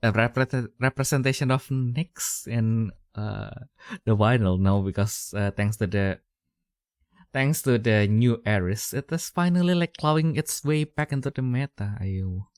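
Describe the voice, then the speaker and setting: A man's voice: medium pitch, unhurried, smooth, no echo, studio quality. A young man, hosting a podcast in casual, rambling chat full of fillers and restarts. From Indonesia. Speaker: podcast host.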